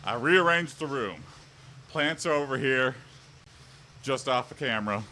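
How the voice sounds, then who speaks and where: Bright-voiced man chatting on a home stream. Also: mid-range voice, expressive, unhurried, slight background noise. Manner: chatty, rambling, answers viewers. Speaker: livestream host. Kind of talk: casual livestream chat while exercising. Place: home room with an exercise bike. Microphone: standing mic a little way off.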